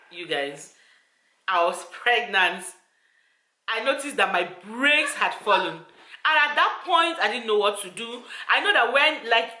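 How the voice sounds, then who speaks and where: Bright-voiced woman vlogger. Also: a little high, echoey room. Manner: earnest, repetitive, addresses viewers directly. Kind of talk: personal storytime to camera.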